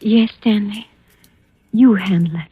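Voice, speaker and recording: An actress playing a frail, docile wife who speaks in a hesitant, dreamy daze, in 1940s radio style. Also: female voice, medium pitch, unhurried, breathy edge, no echo, phone-quality sound. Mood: docile, dazed. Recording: thin, band-limited old radio recording.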